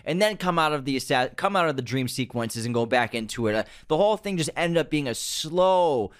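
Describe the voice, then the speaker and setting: Guy cohosting a movie podcast, bit higher voice, animated, keeps restarting sentences. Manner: animated, quick restarts and mock-quoting studio bosses. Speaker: podcast co-host. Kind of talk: casual chat.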